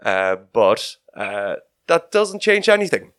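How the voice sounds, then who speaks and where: Lowish male podcaster, very dry sound. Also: very animated, slow pace, a bit bright, dry recording. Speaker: podcast co-host. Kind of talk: casual sports podcast chat.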